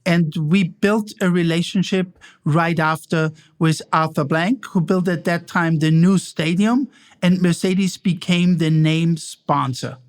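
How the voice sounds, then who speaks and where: High-voiced Austrian-accented man. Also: fairly even intonation, a little slow. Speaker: stadium operations chief. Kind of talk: interview remarks.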